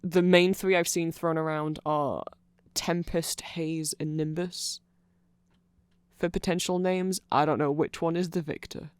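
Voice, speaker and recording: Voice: medium pitch, steady tone, measured pace, clean tone, dry recording. A person giving an informal, rambling ASMR-style explanation full of asides, close to the microphone. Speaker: ASMR audio creator.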